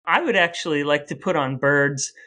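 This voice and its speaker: A man's voice: on the high side, moderate pace, bright, forceful tone. Upbeat man reading a podcast sign-off. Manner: upbeat announcer-style sign-off. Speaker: podcast co-host. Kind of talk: casual chat and scripted outro.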